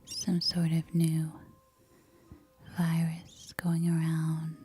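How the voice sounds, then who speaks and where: A woman acts out a war-weary, slightly unhinged female survivor of the apocalypse. She speaks in a breathy, unvoiced ASMR whisper, low and flat, in slow halting phrases. Faint noise sits behind the hushed roleplay. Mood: haunted, somber.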